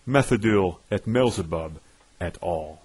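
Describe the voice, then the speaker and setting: Husky man declaiming verse, slow and pompous. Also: sits low, lively intonation, darkish tone. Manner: pompous, declamatory, with dramatic emphasis. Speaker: poetry reader. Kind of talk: theatrical recitation of nonsense verse.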